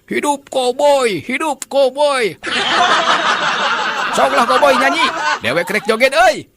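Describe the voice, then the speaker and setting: A man voicing excitable, taunting village children in a comic folk tale, with a Sundanese accent. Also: extremely high pitch, takes it slowly, pitched above the performer's own voice, exaggerated voice. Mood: excited cheering. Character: jeering young village children in the crowd. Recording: noisy, hissy recording.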